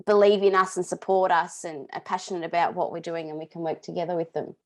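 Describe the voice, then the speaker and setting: A woman's voice: lowish voice, steady tone, fast, a little mellow. A woman hosting a podcast interview, speaking in an affirming, encouraging way. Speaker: podcast host. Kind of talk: interview host chat.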